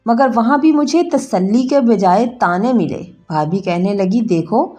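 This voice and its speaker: A woman's voice: mid-range voice, animated, warm tone. A woman reading a scripted, emotional first-person story as its narrator. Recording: music bed behind narration. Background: background music.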